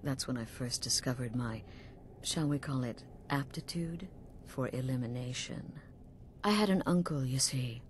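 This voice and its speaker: A woman voicing a middle-aged assassin leader in a video game, coolly sinister and sly, measured and knowing with dramatic pauses. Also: a bass voice, slight background noise, heavily acted.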